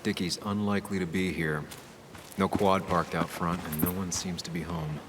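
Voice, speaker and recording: Game role: male actor as dogged ex-reporter turned sleuth. Low register, blunt, reserved. Clear outdoor noise behind. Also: fairly even intonation, moderate pace, close to an everyday voice. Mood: focused, musing. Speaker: player-character investigator, former reporter. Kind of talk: video game dialogue and inner monologue.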